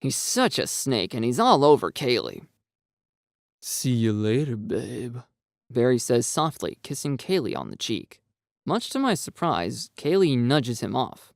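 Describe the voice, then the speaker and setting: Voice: medium pitch, huge pitch swings, moderate pace, gravelly, no echo. A narrator reading a dramatised first-person story in a scripted style. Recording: dry, music behind. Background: background music.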